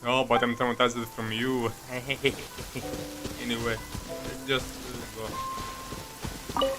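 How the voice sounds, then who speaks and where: A man doing casual live game commentary as a streamer, halting and self-deprecating in how he talks. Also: mid-range voice, little pitch movement. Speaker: game livestreamer. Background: game music.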